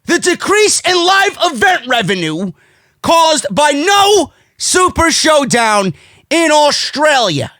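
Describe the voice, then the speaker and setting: A man's voice: very high-pitched, big pitch swings, slow pace, sharp tone, no echo, clean studio sound. A man with a New York accent delivering a brash, profane, indignant rant as an opinionated wrestling commentary host, hammering his points with emphasis. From United States.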